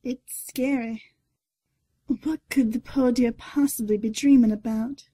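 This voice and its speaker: A woman playing a timid, soft-hearted nurse in a horror audio drama, with a breathy voice. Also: a little high, fairly even intonation, a little slow, no echo. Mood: timid, pitying.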